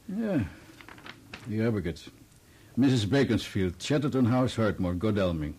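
An actor playing an obliging, courteous auctioneer in a Dutch radio play, helpful and unhurried. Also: male voice, lowish voice, sing-song, darkish tone. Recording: old radio play, clear background noise.

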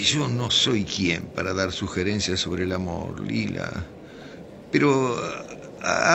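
An actor with an Argentine accent playing a gentle, courteous, hesitant gentleman in a radio drama. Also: low voice, creaky, noisy background, heavily acted. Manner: hesitant, polite. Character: gentle, courteous older man, timid confidant hiding a secret.

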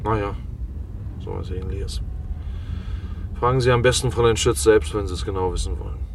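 An actor playing a shaken, earnest gentleman witness in a crime radio play, speaking politely but haltingly. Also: lowish voice, fairly even intonation, creaky, distant mic. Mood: polite, subdued. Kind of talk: German crime radio drama acting.

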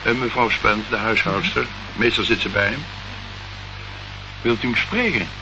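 A man's voice: fairly low pitch, normal speed, natural voice. An actor playing an uneasy, exasperated male client in a Dutch radio play, answering in short phrases. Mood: resigned. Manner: short answers, startled questions. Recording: noisy, muffled old radio recording.

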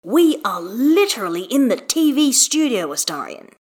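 A woman's voice: on the high side, moderate pace, pitched above the performer's own voice. A woman voicing a wry, long-suffering father, dry and a little exasperated, in an audio story. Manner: wry, exasperated, flatly sarcastic.

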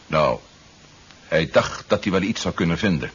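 An actor playing an obedient, brainwashed convert to alien plotters in a 1970s radio drama, compliant and dismissive. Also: male voice, deep voice, animated, normal speed, a bit bright, husky, exaggerated voice. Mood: scornful. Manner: compliant, dismissive of his former allies. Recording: dull, band-limited 1970s radio recording.

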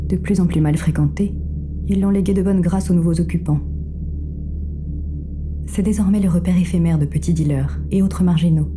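A woman playing a cool, composed, controlling guide who narrates an audio drama in a measured, detached, formal manner. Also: low-pitched, lightly acted.

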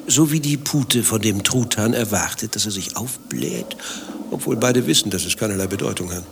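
An actor playing a vain, easily riled adulterer in a satirical German radio play, long-winded and self-justifying. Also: male voice, fairly low pitch, animated, normal speed, vocal fry, background noise. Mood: self-absorbed, confiding. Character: vain, thin-skinned adulterer waiting for his lover.

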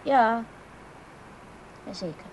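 A woman's voice: a little high, expressive, drawn-out words, heavily acted. An actress playing a timid, unworldly woman in a radio drama, hesitant and apologetic as she speaks. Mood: disappointed. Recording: audibly noisy radio play recording.

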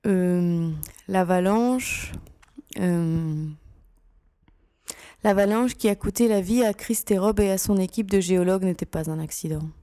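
A woman voices a serious security officer in an audio drama. Her voice is medium in pitch, mellow and recorded dry. She reports in a deliberate, sober way. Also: no echo, close to an everyday voice. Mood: grave.

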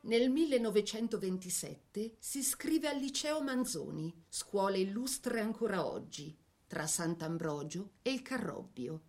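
Woman narrator with measured, theatrical phrasing. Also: medium pitch, lively intonation, darkish tone, soft background music. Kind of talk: scripted dramatic biographical narration.

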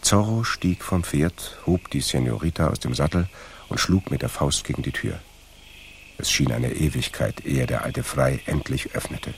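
Very deep, gravelly male narrator. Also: normal speed, slight background noise. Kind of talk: scripted radio play narration.

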